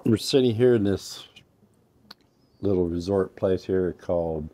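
A man's voice: deep voice, measured pace, mellow, soft background music. An older man hosting a casual interview on an outdoor patio, rambling and restarting his sentences, recorded from a camera at a distance. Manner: rambling, repeats and restarts himself. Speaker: host. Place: covered outdoor patio by a fireplace.

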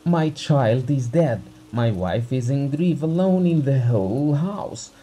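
A man's voice: medium pitch, very animated, unhurried, warm tone, faint music behind, pitched above the performer's own voice. A man voicing a grieving, exhausted doctor in a dramatized audiobook, protesting formally and indignantly. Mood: indignant grief.

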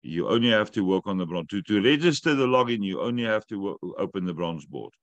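A man's voice: fairly low pitch, lively intonation, a little fast, no echo, lo-fi sound. A middle-aged man whose first language is not English, hosting a weekly video call from home, joking and explaining at length. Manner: talkative, joking, instructive. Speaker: host of a weekly update call. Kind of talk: casual video call chat. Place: room at home.

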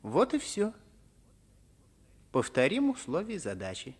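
A performer playing a teasing schoolboy, the loyal friend, in a Soviet radio play, with a husky voice and mock-innocent manner. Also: medium pitch, wildly swooping pitch, measured pace, heavily acted. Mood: composed.

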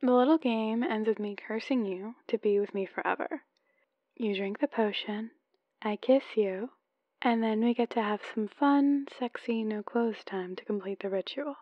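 Woman performing a dorky affectionate young wife, ASMR voicemail roleplay, chatty and playful, fairly high voice, close dry slightly muffled recording. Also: moderate pace, no echo, lightly acted. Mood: playful, excited. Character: adorkable, playful newlywed wife, candle-obsessed and lovesick. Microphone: close microphone, dry.

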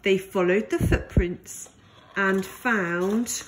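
A woman's voice: mid-range voice, extremely slow, a little hiss or hum, poor audio. A woman narrating a children's picture book aloud as a storyteller.

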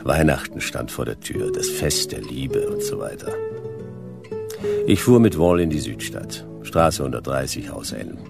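An actor playing a laconic, world-weary private detective, narrating a radio drama in deadpan, terse fragments. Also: male voice, rumbling low, measured pace, gravelly, loud music behind the voice, exaggerated voice. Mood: dry, laconic.

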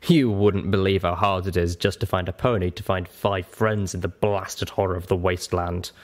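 A man's voice: deep voice, steady tone, moderate pace, natural voice. A man voicing an ancient, lonely dragon guardian in a story reading, weary, blunt and grave. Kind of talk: audiobook character voice.

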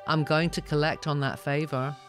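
A woman's voice: sits low, little pitch movement, moderate pace, faint music behind, lightly acted. A woman voicing a commanding, scheming immortal female gargoyle with an imperious, menacing manner in an audiobook. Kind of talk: audiobook character acting.

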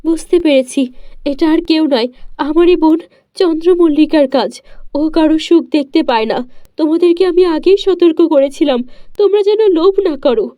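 A woman's voice: sits high, normal speed, warm tone, no echo, clean studio sound, lightly acted. A woman voicing a kind, wise female fairy who speaks in an earnest, admonishing way.

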